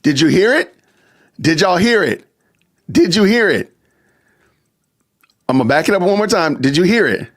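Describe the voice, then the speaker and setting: A man's voice: high voice, big pitch swings, normal speed, pauses often. A man hosting a live stream podcast, talking casually to his chat and repeating rhetorical questions. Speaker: podcast host.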